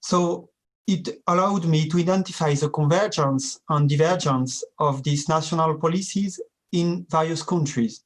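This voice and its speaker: A man presenting a seminar paper in careful, deliberate, non-native English. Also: on the high side. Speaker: academic presenter. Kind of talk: reading a conference paper.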